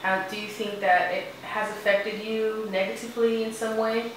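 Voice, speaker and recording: A woman interviews a guest with polite, scripted-sounding questions. Her voice stays flat and even, and it is picked up from some distance. The room is very echoey. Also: medium pitch, moderate pace, a little hiss or hum. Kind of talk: casual student interview.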